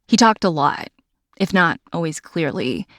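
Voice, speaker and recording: A woman narrates a podcast story in a scripted, expressive way, her pitch moving a lot. Her voice sits a little low, and it is recorded close and dry. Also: a little slow, no echo. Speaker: podcast host.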